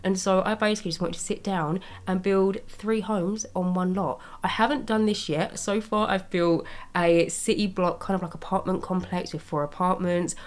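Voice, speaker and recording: A young woman with a slightly raspy voice from a cold, chatting casually as she narrates her video-game building. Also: medium pitch, fairly even intonation, moderate pace, hardly any pauses. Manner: chatty, rambling, with small laughs. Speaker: Sims speed-build YouTuber. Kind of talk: casual commentary over gameplay. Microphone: voice-over recorded separately from gameplay.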